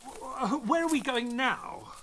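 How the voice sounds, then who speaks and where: Earnest English doctor sidekick for radio play: polite, bewildered, unhurried, expressive pitch. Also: male voice, full character voice. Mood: puzzled. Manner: hesitant, flustered, polite. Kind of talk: audio drama acting and narration.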